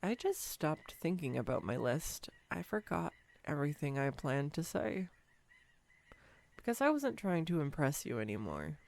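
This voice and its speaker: An unhurried, fairly low voice for a woman acting as an awkward young woman on a date. She is earnest, apologetic and sweetly self-deprecating, speaking intimately to one listener in a romantic audio roleplay. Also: very animated, creaky, darkish tone, exaggerated voice. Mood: tender.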